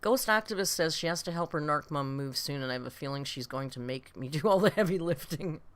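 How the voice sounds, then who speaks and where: Low-voiced, animated woman in her fifties. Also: brisk. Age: late 50s. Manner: rambling, self-deprecating, blunt with mild swearing. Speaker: host of a reaction live stream. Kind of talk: casual live-stream chat, reading viewer comments.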